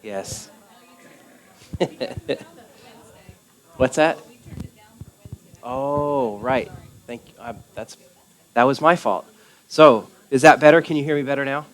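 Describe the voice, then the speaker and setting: Soft-spoken man leading a church study group. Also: mid-range voice, slow, long pauses. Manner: gentle, asks questions and affirms answers. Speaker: Bible study leader. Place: church meeting room.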